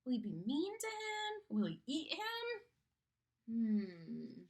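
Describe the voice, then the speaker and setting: A woman reading a children's picture book aloud in an expressive, theatrical manner, engaging young listeners. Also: high-pitched, slow pace.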